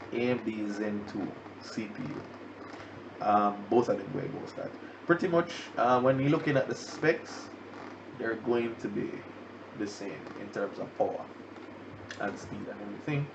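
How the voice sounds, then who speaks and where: A Jamaican man hosts a casual livestream podcast while down with the flu, so his voice comes out stuffy and nasal. His low voice is rough and gravelly, and he rambles with lots of fillers and restarts. The audio is muffled and lo-fi, with steady noise underneath. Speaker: podcast host. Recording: dull lo-fi stream audio with background noise.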